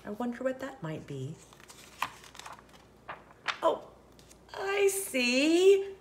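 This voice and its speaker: A woman reading a picture book aloud for children, expressive, with questioning asides to young listeners. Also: high-pitched, extremely slow, background noise. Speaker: children's story reader and host.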